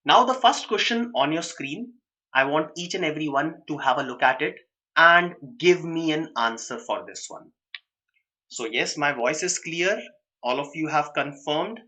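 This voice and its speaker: A young man with an Indian accent teaching a live online exam-prep class, switching between English and quick Hindi asides and answering students by name. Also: on the high side, lively intonation, sharp tone.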